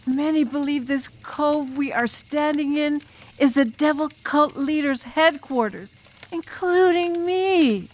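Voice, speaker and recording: A woman voicing an enigmatic drum major student telling a demon horror tale in an audiobook, with ominous, drawn-out, dramatic delivery. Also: high voice. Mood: grave, menacing. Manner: ominous, drawn-out, dramatic, sometimes dropping to a whisper. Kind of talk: audiobook ghost story narration in character.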